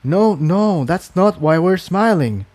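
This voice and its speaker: Man playing a gentle young unicorn, raised pitch. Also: animated, takes it slowly, no room sound. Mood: gentle reassurance. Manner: soft-spoken, earnest, slightly sheepish. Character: modest, gentle young male unicorn pony.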